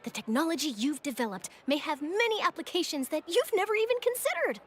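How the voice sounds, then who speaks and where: A woman's voice: very high voice, sing-song, fast talker. A woman voicing an eager, earnest and articulate young female journalist in a video game. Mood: reassuring. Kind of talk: English video game voice acting.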